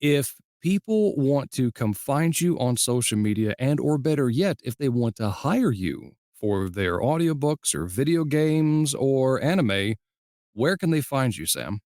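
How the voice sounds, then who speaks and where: A man's voice: medium pitch, animated, unhurried, a little mellow, no room sound, clean studio sound. A man hosting a podcast in a casual, conversational way, playfully acting out the lines he quotes. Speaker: podcast host. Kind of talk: casual interview chat.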